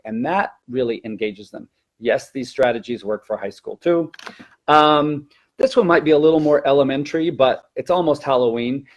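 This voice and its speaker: A man who teaches elementary Spanish, giving an explanatory teacher-training talk in a hesitant, self-correcting way. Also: mid-range voice.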